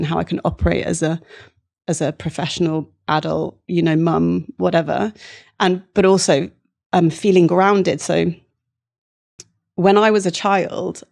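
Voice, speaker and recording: A woman's voice: fairly low pitch, gravelly, darkish tone. A woman, a somatic therapist, explaining earnestly as a podcast guest, restarting her sentences often. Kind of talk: reflective podcast interview.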